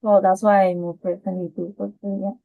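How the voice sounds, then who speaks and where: A woman from Spain with a Spanish accent gives an informal presentation over a video call, in simple, slightly halting phrasing. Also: medium pitch, flat delivery, phone-quality sound. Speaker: guest presenter.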